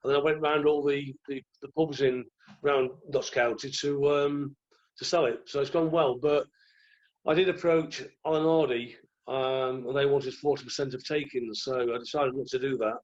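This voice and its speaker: A croaky-voiced man, telling rambling stories as a podcast guest. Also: medium pitch, steady tone, moderate pace, muffled recording. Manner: rambling, restarts often. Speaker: guest, former goalkeeper. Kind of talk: relaxed anecdotal chat.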